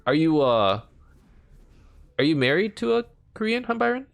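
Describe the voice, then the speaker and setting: A young man from Canada, streaming a game from home and chatting casually with his viewers in a rambling, filler-heavy way, his pitch jumping up when he gets animated. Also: moderate pace, creaky, no room sound. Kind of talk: casual chat with live chat while gaming.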